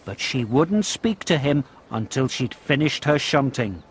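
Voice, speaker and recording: Animated, slow-paced male children's storyteller. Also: mid-range voice, noisy background. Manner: expressive, measured storytelling for young children. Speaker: narrator of a children's video game. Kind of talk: scripted children's storybook narration with game prompts. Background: background music.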